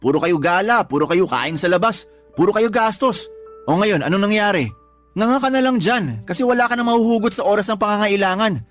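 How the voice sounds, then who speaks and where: An actor playing a stingy young boyfriend who scolds and blames, in a Tagalog radio drama. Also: male voice, high-pitched, lively intonation, a little fast, fairly bright tone, soft background music. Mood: scornful, accusing. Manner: accusing, nagging.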